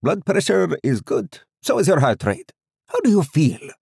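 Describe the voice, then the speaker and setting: Man voicing an old Indian doctor, high-pitched. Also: wildly swooping pitch, moderate pace, gravelly, darkish tone, husky, no echo, clean studio sound, heavily acted. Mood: brisk, checking. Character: old frail male doctor, kindly, brisk, repetitive. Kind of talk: audiobook character dialogue.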